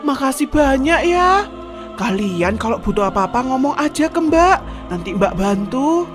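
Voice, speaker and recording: A woman voicing a loud, pushy older sister-in-law in a cartoon drama. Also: high-pitched, sing-song, a little slow, over music. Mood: grateful. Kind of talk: cartoon voice acting.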